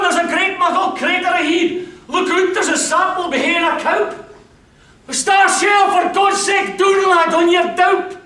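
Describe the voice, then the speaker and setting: A man performing a stubborn, loyal young soldier, wounded yet pushing on, his voice pitched very high and raised with urgency. He speaks slowly and with weight, caught from a distance in a roomy space. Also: sharp tone, full character voice. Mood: urgent.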